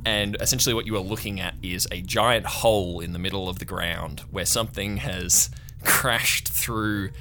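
A man's voice: on the low side, fairly even intonation, normal speed, pushed and bright, slight background noise. A man improvising narration as game master of a tabletop game.

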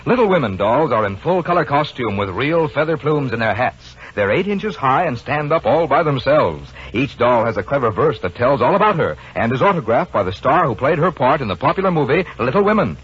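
A man's voice: medium pitch, sing-song, brisk, hardly any pauses, a bit bright, slightly rough. A man working as an announcer, reading a scripted commercial in 1940s radio style. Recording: dull vintage radio recording.

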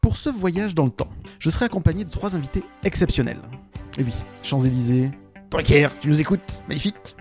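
Playful higher-pitched man hosting over music. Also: fairly quick, a little mellow. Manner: playful, mock-grandiose introductions. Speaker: podcast host. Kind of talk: lively live show presenting. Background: background music.

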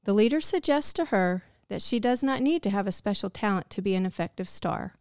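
A woman's voice: medium pitch, animated, fairly quick, darkish tone, no echo, studio quality. A woman giving a scripted, reflective devotional narration. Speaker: devotional speaker.

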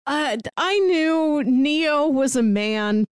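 Dry-humored woman, fairly high voice. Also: expressive, takes it slowly, dry recording. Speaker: podcast co-host. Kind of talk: casual chat.

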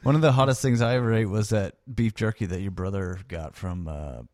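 A man in his thirties in casual chat, agreeable and quick to chime in as a podcast co-host. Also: fairly low pitch, darkish tone, dry recording, clean studio sound. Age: 30s.

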